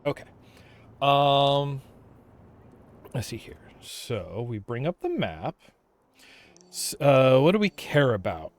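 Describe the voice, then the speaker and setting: A man chatting casually on a gaming livestream, thinking aloud in a halting way. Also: medium pitch, very animated. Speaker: game livestreamer.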